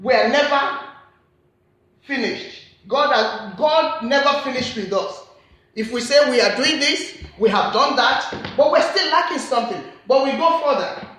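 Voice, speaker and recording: A woman's voice: sits high, measured pace, fairly bright tone. A woman with a Nigerian accent preaching a sermon in a church, repeating her key points with earnest emphasis. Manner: earnest, repetitive emphatic phrasing. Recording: very echoey church hall.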